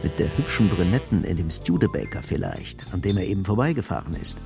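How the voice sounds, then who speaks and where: A man's voice: low-pitched, big pitch swings, a little fast, creaky, mellow. A man narrating a scripted radio drama, with music behind his voice.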